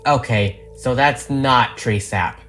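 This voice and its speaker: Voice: medium pitch, takes it slowly, fairly bright tone, faint music behind. Muttering, wary pony investigator read by a narrator. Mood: wary, curious.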